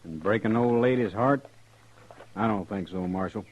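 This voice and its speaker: An actor playing an insolent, taunting outlaw gunman with a Western American accent and a husky voice in an old-time radio drama. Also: lowish voice, moderate pace, natural voice. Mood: smug, taunting. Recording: dull old radio recording.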